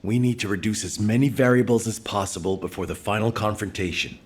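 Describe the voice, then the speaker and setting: An analytical military strategist, acted by a man in a video game. His voice is fairly low, and he lays things out carefully in a measured, formal manner. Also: close to an everyday voice. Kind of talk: game cutscene dialogue.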